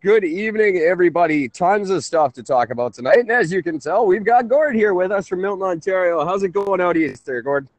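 A man hosting a conversational call-in radio show, halting and often interrupting himself. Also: sits high, expressive, no room sound. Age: 30s.

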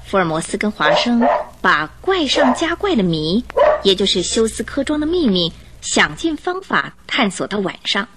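A woman narrator telling a scripted radio drama story in an expressive, suspenseful style. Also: mid-range voice, moderate pace, slight background noise. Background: music under the voice.

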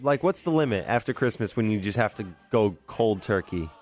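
A man co-hosting a morning radio show, chatting casually in a relaxed, joking way. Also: fairly low pitch, brisk, soft background music. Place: radio studio. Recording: dry studio mic.

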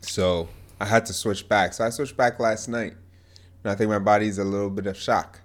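A man's voice: fairly low pitch, steady tone, normal speed. A man co-hosting a podcast in casual chat, sounding congested and stuffed up, joking and teasing. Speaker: podcast co-host.

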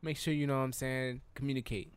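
A man's voice: medium pitch, quick pace, crowd noise. A man co-hosting a gaming podcast, boasting and trash-talking his friends. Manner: boastful, animated trash talk. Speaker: podcast co-host. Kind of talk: casual gaming chat.

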